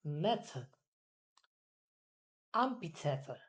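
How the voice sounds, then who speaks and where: A woman's voice: low voice, sing-song, very slow. A woman carefully repeating words and reading practice sentences as a pronunciation student. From Philippines. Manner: careful, word-by-word repetition.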